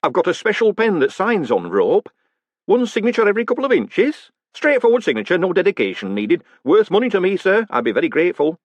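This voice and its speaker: A man's voice: sits high, huge pitch swings, brisk, no echo, lo-fi sound, pitched above the performer's own voice, exaggerated voice. A man voicing a friendly, obliging hangman who chats politely and calls everyone sir. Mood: cheery. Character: cheerful obliging male hangman.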